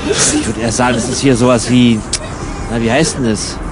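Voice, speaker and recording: A man's voice: mid-range voice, steady tone, brisk, close to an everyday voice. A man playing an irritable, bossy traveller in a radio play, talking in an exasperated, colloquial way. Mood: earnest. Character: irritable, bossy male traveller emigrating to Ireland. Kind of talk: improvised-sounding German radio play dialogue. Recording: noisy travel ambience behind voice.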